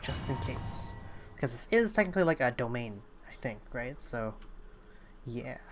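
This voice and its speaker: A woman's voice: extremely low pitch, sing-song, unhurried, very noisy. A woman streamer giving casual gameplay commentary, thinking aloud as game music plays behind her. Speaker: streamer playing a video game.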